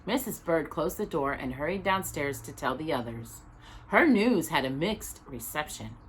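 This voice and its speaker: A woman's voice: low-pitched, lively intonation, normal speed, breathy edge, rough recording. A woman storytime host narrating a children's book expressively, with dramatic, emphatic phrasing. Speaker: storytime host reading aloud.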